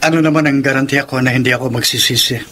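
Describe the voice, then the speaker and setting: A man's voice: medium pitch, steady tone, quick pace, pushed and bright, slight background noise, close to an everyday voice. An actor playing a troubled, defensive married man cornered by debts, in Filipino radio drama style, with a Filipino accent. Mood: bitter, resigned.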